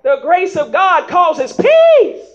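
Slow, very high-voiced woman preaching. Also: very animated. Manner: emphatic call-and-response preaching with frequent "huh?" prompts; folksy vernacular, rising to shouts. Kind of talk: sermon to a congregation. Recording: dull lo-fi recording.

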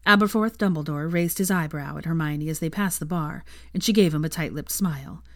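A woman narrates a story in a fairly low voice. The reading is scripted prose storytelling, recorded close and dry with very little room sound. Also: fairly quick, no room sound. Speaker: fan fiction reader, narrator.

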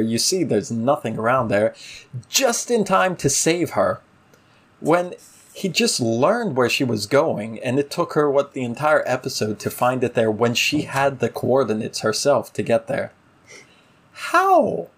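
A man's voice: medium pitch, husky. A man co-hosting a casual TV review talk, ranting emphatically with exasperation and rhetorical questions. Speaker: podcast co-host reviewing a TV series. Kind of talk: casual opinionated episode discussion.

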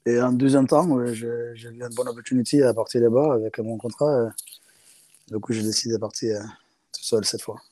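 Give me a young man from South Africa, speaking hesitant French in a casual interview, with a mellow, dark tone. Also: medium pitch, steady tone, a little fast, no echo. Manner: hesitant, many fillers and restarts in second-language French. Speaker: interviewee, rugby player.

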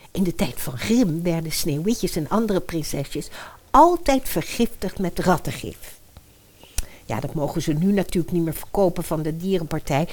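A woman's voice: fairly low pitch, darkish tone. An actress playing a spiteful, scheming stepmother in a comic fairy-tale audio drama, muttering and exclaiming to herself.

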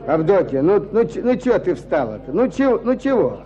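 A man's voice: high-pitched, very animated, moderate pace, mellow. A man acting in a radio drama as a brusque, scolding village peasant with folksy speech. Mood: gruff, impatient. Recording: dull radio play sound.

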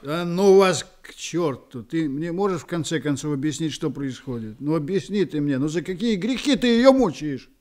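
An actor playing a detective's blunt, excitable male friend in a radio play, badgering and emphatic. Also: on the high side, normal speed, hardly any pauses, natural voice. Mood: exasperated. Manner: badgering, emphatic, rhetorical questioning. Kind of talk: Russian radio play acting.

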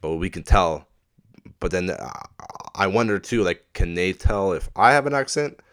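A very deep-voiced man with a blocked, stuffy nose rants alone into a desk mic. He swears nearly every sentence and rambles from thought to thought in an excitable, casual way. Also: normal speed, smooth. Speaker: podcast host. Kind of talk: casual solo rant. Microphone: desk microphone at a laptop.

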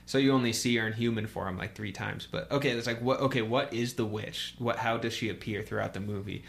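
A man co-hosting a casual podcast, talking in a halting, informal way with lots of conversational hedging words. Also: on the low side, creaky, fairly bright tone. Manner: halting, informal, heavy use of "like" and "you know". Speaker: podcast co-host. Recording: faint hum and background hiss.

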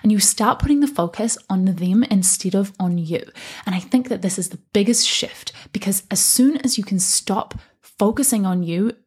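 A woman's voice: medium pitch, moderate pace, a little mellow, husky. A young adult woman hosting a solo podcast, talking as a life coach in an earnest, encouraging and emphatic way. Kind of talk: solo motivational podcast talk.

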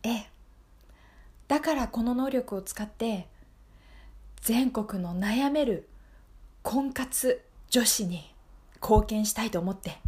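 A woman playing a calm, all-knowing fortune-teller in an improvised radio drama, speaking in a hushed, measured, polite and reassuring way. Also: a little high. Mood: confident.

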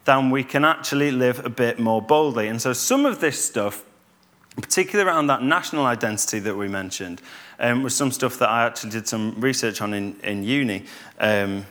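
A man, giving a calm, conversational church talk with fillers and restarts. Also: mid-range voice, brisk. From Yorkshire, England. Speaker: church speaker.

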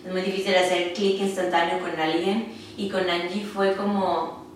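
A woman's voice: lowish voice, little pitch movement, moderate pace, recorded from a distance, slight background noise. A young woman from Monterrey with a northern Mexican accent, chatting casually and giggly, mixing in some English. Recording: very echoey room, off mic, music behind.

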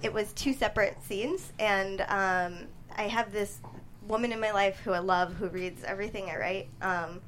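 A young woman answering interview questions as a guest writer, in a halting, thoughtful way. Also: mid-range voice. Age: young adult. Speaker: guest screenwriter and playwright. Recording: steady background noise.

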